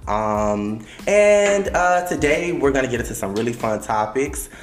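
Animated gossipy man hosting a podcast. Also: medium pitch, measured pace, reverberant. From Miami. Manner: animated, gossipy, swears freely. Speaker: podcast host. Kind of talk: casual podcast chat.

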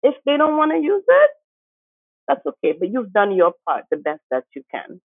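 A woman's voice: fairly high pitch, wildly swooping pitch, moderate pace, dry recording. A woman from St. Martin talks as an interview guest, earnest and encouraging, pressing her points with emphasis. Her voice comes through a thin, phone-like line on a remote call, dry with no room around it. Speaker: guest, teacher. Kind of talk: conversational interview.

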